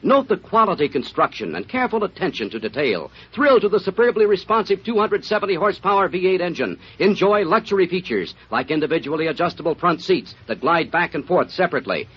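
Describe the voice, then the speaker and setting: A man's voice: high-pitched, big pitch swings, fast, hardly any pauses, breathy edge. A man with an American accent reading a scripted old-time radio commercial in a polished salesman's delivery. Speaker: commercial announcer. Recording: muffled old radio recording.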